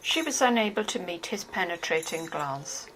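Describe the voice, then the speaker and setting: A woman's voice: on the low side, lively intonation, moderate pace, fairly bright tone, low-quality recording. A woman narrating a novel from a script. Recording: faintly hissy home recording.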